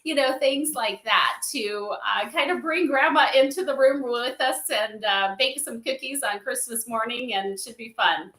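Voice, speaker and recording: A middle-aged woman giving conversational interview answers as a podcast guest, animated and emphatic, often checking in with "right?". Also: a little high, moderate pace, few pauses, roomy sound. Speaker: podcast guest, spiritual advisor for an AI company.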